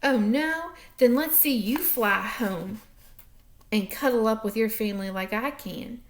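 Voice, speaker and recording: A woman's voice: fairly high pitch, measured pace, natural voice. A woman voicing a cheeky little bird who teases the tortoise with chirps. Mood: teasing. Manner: chirping, teasing laugh. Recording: echoey room.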